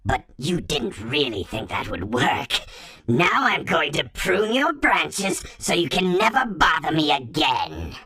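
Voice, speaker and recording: Villainous talking flower, hugely swinging pitch, slow delivery, sweetly menacing, cartoon game. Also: female voice, sing-song, sharp tone, heavily acted. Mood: menacing, scolding. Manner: mock-sweet, drawn-out taunting.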